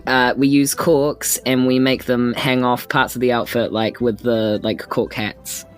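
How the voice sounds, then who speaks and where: Man, tabletop game player, casual joking chat, halting phrases, soft music behind. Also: mid-range voice, level tone.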